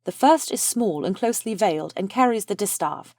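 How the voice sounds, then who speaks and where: A woman's voice: mid-range voice, lively intonation, brisk, a little mellow, no room sound, studio quality. A woman reading the stage directions of a play as scripted narration.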